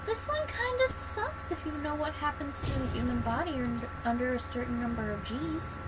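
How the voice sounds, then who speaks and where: High-voiced, expressive woman game streamer. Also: normal speed, noisy background. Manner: expressive, exclamatory, bantering. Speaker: livestreamer playing a game. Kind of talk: casual reactive game commentary. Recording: loud game music behind.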